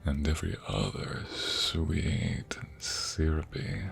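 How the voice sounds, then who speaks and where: A man playing an adoring, flirty husband in an intimate ASMR romance roleplay, murmuring tenderly in a breathy, gravelly voice that often drops to a whisper. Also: very deep voice, expressive, faint music behind, exaggerated voice. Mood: tender, sincere. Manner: tender, slow, sighing delivery.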